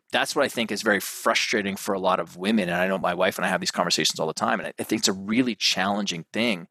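Man, 30s, deep and forceful, dry close sound, rambling guest answers. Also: dry recording. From Canada. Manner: rambling, thinks aloud with restarts. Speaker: guest, mental performance coach. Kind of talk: casual interview chat.

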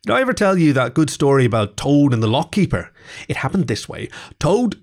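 A man's voice: a little high, very animated, brisk, pitched above the performer's own voice. A man voicing a sociable, blunt male otter from a storybook, jovial and gossipy. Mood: gossipy, amused. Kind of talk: storybook character voice.

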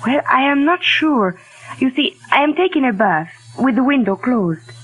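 A woman's voice: high voice, very animated, moderate pace, a bit bright, husky, heavily acted, low-quality recording. An actress playing a humble, friendly woman painter in a 1940s radio drama. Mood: humble, obliging. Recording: old 1940s radio recording.

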